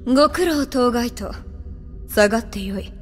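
A woman's voice: fairly high pitch, lively intonation, measured pace, in a large hall, soft background music. A woman playing a composed, menacing female villain who speaks formally as a clan lieutenant in an anime audio drama. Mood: sinister composure.

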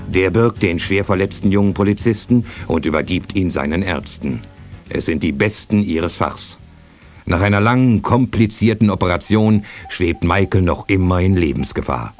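Deep-voiced male radio play narrator. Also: expressive, normal speed, soft background music.

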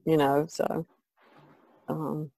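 A woman's voice: sits low, level tone, drawn-out words, gravelly, mellow, slightly rough, dry recording, poor audio. A woman who is an artist, chatting casually as a panelist on a podcast.

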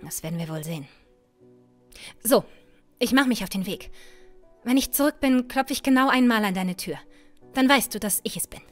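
An actress playing a determined, sharp-tongued young adventuress in an audio drama, often muttering to herself. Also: female voice, fairly high pitch, lively intonation, normal speed, long pauses, close to an everyday voice. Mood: resolute. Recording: music under the voice.

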